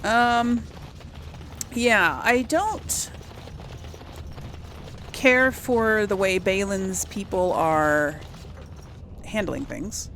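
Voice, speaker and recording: Slow, rambling woman gamer thinking aloud. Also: medium pitch, a bit bright. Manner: rambling, hesitant, musing to herself. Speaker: host of a role-play let's play series. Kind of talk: casual gameplay commentary, thinking aloud. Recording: clear background noise, game ambience.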